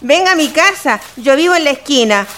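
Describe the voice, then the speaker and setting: A woman's voice: high-pitched, lively intonation, fairly quick, fairly bright tone. A woman with a Chilean accent playing a humble, kindly, motherly neighbourhood lady in a radio play, solicitous and colloquial. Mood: concerned. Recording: clear background noise.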